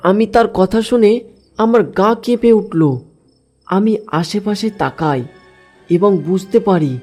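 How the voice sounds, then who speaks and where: A man with a fairly high voice tells a scripted horror story in the first person. His delivery is dramatic and suspenseful, with music and night insects behind it. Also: measured pace, darkish tone. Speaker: story narrator.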